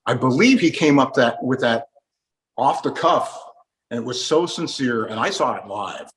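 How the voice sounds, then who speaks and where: A man's voice: mid-range voice, lively intonation, normal speed, fairly bright tone. Older American man interviewing and reminiscing. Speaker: interviewer with a radio background. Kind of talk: conversational interviewing with personal recollections.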